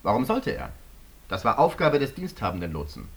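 An actor playing a stubborn, defensive senior official of an aviation authority in a German radio drama, speaking emphatically. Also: male voice, medium pitch, wildly swooping pitch, fairly quick. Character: defensive, stubborn male air-traffic authority executive.

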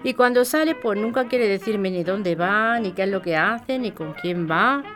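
A woman's voice: medium pitch, expressive, normal speed, a bit bright, soft background music, natural voice. An actress with a Spain accent playing a caring but exasperated working woman, speaking colloquially and expressively. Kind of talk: audio fiction podcast acting.

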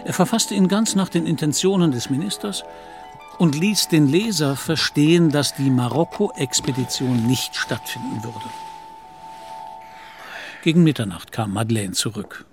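A man's voice: fairly high pitch, a little slow, faint music behind. A man narrating a literary radio play.

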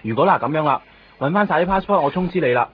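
An actor playing an apologetic, flustered travel agency worker with a Hong Kong accent in a radio drama. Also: male voice, a little high, lively intonation, fast, close to an everyday voice. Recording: dull, faintly noisy radio recording.

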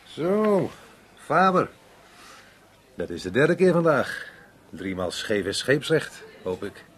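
An extremely husky, middle-pitched working man in a radio play. He is talkative and hot-tempered, with a crude, emphatic way of putting things. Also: big pitch swings, slow, a bit bright, full character voice. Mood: teasing, familiar. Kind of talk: naturalistic Dutch radio play acting.

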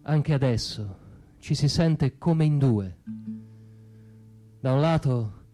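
A man performing a theatrical spoken monologue, declamatory, with insistent repetitions and deliberate pauses. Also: medium pitch, expressive, mellow. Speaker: stage actor performing monologues. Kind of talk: theatrical spoken monologue over music, in the teatro-canzone manner. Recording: guitar music behind the voice. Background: plucked guitar music.

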